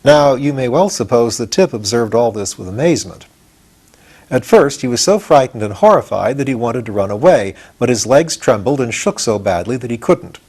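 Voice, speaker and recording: A man, reading a children's story aloud as expressive audiobook narration. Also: medium pitch, moderate pace, husky. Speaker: audiobook reader.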